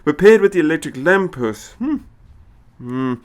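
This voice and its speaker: Eccentric old time traveller, raised pitch, absent-minded, musing and tutting, audio story character. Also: male voice, lively intonation, a little slow. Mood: musing, puzzled. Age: older. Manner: hesitant musing with hums and little chuckles.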